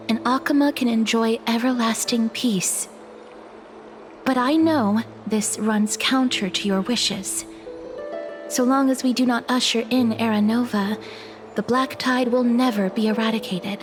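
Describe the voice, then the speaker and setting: A woman voices an earnest young maiden from a video game, speaking softly but firmly. Her voice sits a little high, and clear music plays behind her. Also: natural voice. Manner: soft, earnest and resolute.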